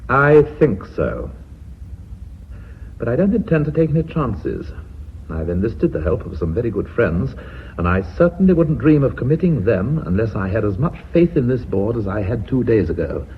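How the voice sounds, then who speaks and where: An actor playing a middle-aged, commanding British company director with a measured, formal way of speaking, in radio drama style. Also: male voice, mid-range voice, very animated, close to an everyday voice. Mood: firm, resolute. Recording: muffled, hissy old radio recording.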